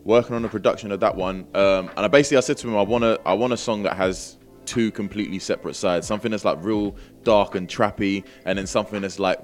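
A man's voice: lowish voice, fast talker, few pauses, faint music behind. A British man chatting casually as an interview guest, with a British accent and a laid-back, slangy manner. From Britain. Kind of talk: casual chat.

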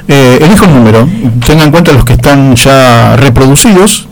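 A man's voice: medium pitch, expressive, moderate pace, faint music behind, poor audio. A man with an Argentine accent and a nasal voice, chatting casually on air as a radio host, courteous and often repeating his phrases.

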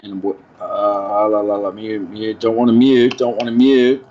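A man's voice: lowish voice, little pitch movement, measured pace, darkish tone, highs cut off. Easygoing man rambling on a call. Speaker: podcast guest on a call. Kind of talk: casual rambling storytelling and chat. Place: calling in from a car.

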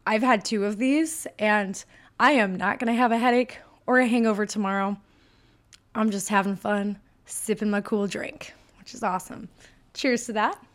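A woman with a Michigan accent hosts her own podcast in a chatty, bubbly way. Her voice sits at a medium pitch, and her delivery stays upbeat and personal. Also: steady tone, moderate pace. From Michigan, USA. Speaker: podcast host. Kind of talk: solo podcast monologue.

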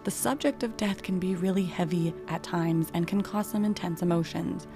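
A woman hosting a podcast interview, speaking in a calm, earnest and reflective way. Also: fairly low pitch, moderate pace, music in the background. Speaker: podcast host.

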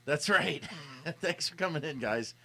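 A man's voice: fairly high pitch, expressive, measured pace, vocal fry, bright, forceful tone, dry recording. A man from Miami hosting a live radio talk show in a casual, jokey way. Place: radio studio.

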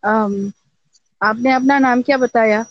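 A woman from Nepal talks as a guest on an online voice call, often asking to have things repeated. She speaks very fast in a slightly high voice. The call audio is dry and dull. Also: fairly even intonation, no room sound. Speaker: podcast guest. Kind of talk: casual online call chat.

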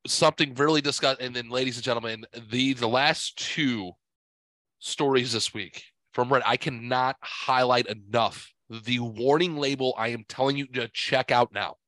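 A man's voice: medium pitch, normal speed, bright, forceful tone, no room sound, rough recording. A man hosting a podcast while sick and stuffed up, talking in a crude, profane, rambling way. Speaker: podcast host. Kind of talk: casual podcast chat.

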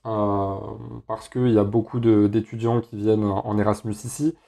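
A young man from France hosting a casual solo podcast, talking in a relaxed way with lots of hesitations. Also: fairly low pitch, normal speed. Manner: relaxed, many filler hesitations. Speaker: podcast host.

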